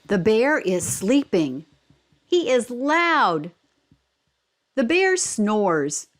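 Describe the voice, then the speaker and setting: Female read-aloud presenter, animated intonation, unhurried delivery, instructive and geared to young children. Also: fairly high pitch. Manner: expressive, instructional, child-directed storytelling. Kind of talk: scripted instructional narration and picture-book read-aloud.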